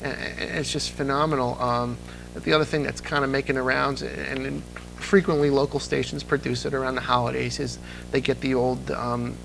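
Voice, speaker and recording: A man's voice: mid-range voice, steady tone, moderate pace, gravelly, over music, poor audio. A man being interviewed as an old-time radio fan, talking with enthusiasm and frequent restarts. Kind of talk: casual interview chat.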